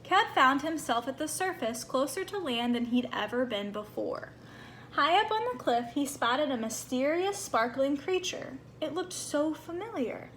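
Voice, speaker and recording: A woman with a high voice reads a children's story aloud. Her delivery is expressive and animated, made for young listeners. There is faint noise behind her. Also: measured pace. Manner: animated, acts out small moments.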